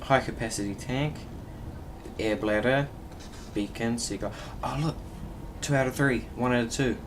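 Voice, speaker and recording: A man's voice: mid-range voice, a little slow. A man doing casual gameplay commentary, muttering to himself and reading game text aloud with occasional stumbles. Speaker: video game player. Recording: clearly noisy recording with background hum.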